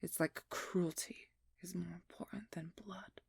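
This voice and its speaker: A woman acts out a determined female vampire hunter in an intimate audio roleplay. Her voice is very breathy and husky, often near a whisper, with a gravelly fry underneath. She speaks slowly and intensely, wounded but resolute. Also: lowish voice, fairly even intonation, a little mellow, no room sound, full character voice. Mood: betrayed, sorrowful. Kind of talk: ASMR roleplay audio drama.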